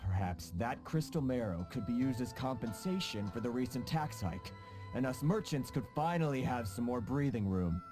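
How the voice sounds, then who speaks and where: An actor playing a shrewd, diplomatic merchant in a video game, measured and polite. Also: male voice, mid-range voice, expressive, music in the background, lightly acted. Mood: calm, pointed. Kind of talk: English video game dub acting.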